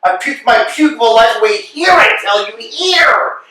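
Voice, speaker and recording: Actor as sharp, high-voiced grumbling tramp, echoey room. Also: male voice, expressive, moderate pace, distant mic, exaggerated voice. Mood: bitter, exasperated. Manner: grumbling, dramatic. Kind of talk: theatrical stage play reading. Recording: echoey room, picked up from afar.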